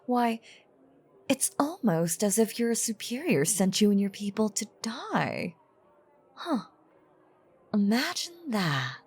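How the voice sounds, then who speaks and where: A woman playing an ancient, playful yet menacing female vampire in an audio drama, teasing in theatrical, old-fashioned phrasing with a husky, breathy voice. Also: mid-range voice, very animated, full character voice. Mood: sly, pointed. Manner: theatrical, teasing, archaic wording, deliberate pauses. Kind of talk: dramatic character audio roleplay monologue.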